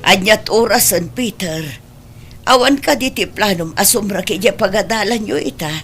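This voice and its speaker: A woman playing a worried, sorrowful middle-aged mother in a Filipino radio drama, pleading and blaming herself in a husky voice. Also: medium pitch, moderate pace, a bit bright, faint music behind, natural voice. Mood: reproachful. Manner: pleading, lamenting.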